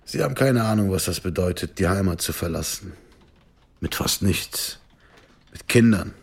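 A man's voice: low voice, lively intonation, moderate pace, husky, full character voice. An actor playing a proud, sly crime-clan man in a radio drama, provocative and self-assured. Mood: bitter, proud. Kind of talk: naturalistic German radio drama acting.

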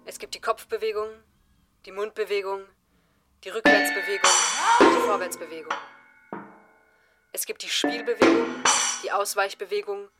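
An actress as an impersonal female narrator in an experimental radio play, set against loud music. The delivery is a rhythmic, incantatory recital of list items, at a middle pitch. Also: steady tone, moderate pace, long pauses, close to an everyday voice. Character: impersonal narrator reciting endless lists of compound words. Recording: music behind the voice.

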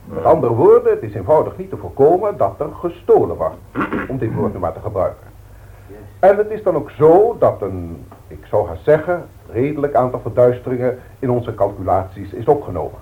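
An actor with a Dutch accent playing a formal, long-winded company director in a 1960s radio drama, speaking in measured, pompous speeches. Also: male voice, mid-range voice, sing-song, a little hiss or hum, lightly acted. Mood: grave, stern. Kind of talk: 1960s radio drama acting, boardroom address. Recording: thin phone-like old radio recording.

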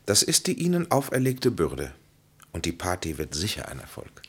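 An actor playing a grave, authoritative physician in a German radio play, speaking in measured, emphatic, formal phrases. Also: male voice, deep voice, wildly swooping pitch, slightly rough, exaggerated voice. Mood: firm, counselling. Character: grave, authoritative physician and counsellor, solemn and consoling.

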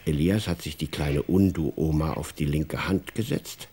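A man's voice: very deep voice, unhurried, dark tone, slightly rough. A man narrating a children's radio play as a scripted storyteller.

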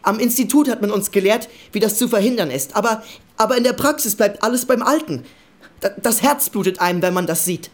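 A woman playing an earnest party comrade in a radio drama, arguing insistently and a little haltingly for fairness. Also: mid-range voice, natural voice. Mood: earnest, pleading. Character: earnest young party comrade, shepherd's child, pleading for fairness. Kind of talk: German radio drama acting.

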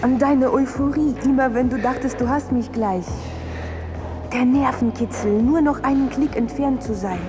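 An actress playing a possessive temptress in a radio drama, coaxing softly and intimately, with music behind. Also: female voice, sits high, normal speed, lightly acted. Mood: seductive, wounded. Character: seductive, possessive female temptress voice.

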